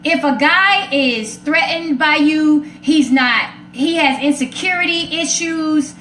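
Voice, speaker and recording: A woman's voice: sits high, slow pace, fairly bright tone. A woman hosting a live talk show, speaking casually and emphatically to her viewers in an outspoken way. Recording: roomy echo, faint background noise.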